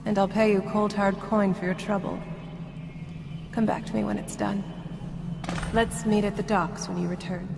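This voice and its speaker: A woman voicing a haughty, scheming young noblewoman in a video game, poised, deliberate and coolly commanding. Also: medium pitch, little pitch movement, vocal fry, noisy background, natural voice. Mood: imperious, bargaining.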